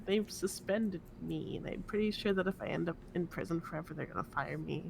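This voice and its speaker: A woman's voice: lowish voice, brisk, gravelly, poor audio. A woman playing a casual, blunt inmate in improvised game roleplay. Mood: blunt, resigned. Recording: faint background noise.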